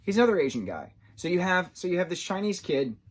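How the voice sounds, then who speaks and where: A man's voice: high voice, sing-song. Rambling thirty-year-old man reviewing TV. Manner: rambling, halting, loses his train of thought. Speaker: TV show reviewer. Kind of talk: casual unscripted review talk.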